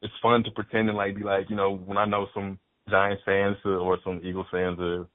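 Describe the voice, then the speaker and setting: A man's voice: low-pitched, completely flat delivery, brisk, dry recording. A man from Fort Worth, a guest chatting casually and animatedly on a podcast, cursing freely and repeating words when he gets worked up. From Fort Worth, Texas. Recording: dry, phone-like band.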